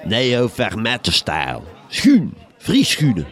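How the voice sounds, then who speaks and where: Male actor as coarse Flemish villager, gravelly, wildly swooping voice, comic radio play over music. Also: medium pitch, wildly swooping pitch, slow, background noise, exaggerated voice. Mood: impatient, keen. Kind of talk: gothic horror comedy radio drama. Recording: loud music under the voice.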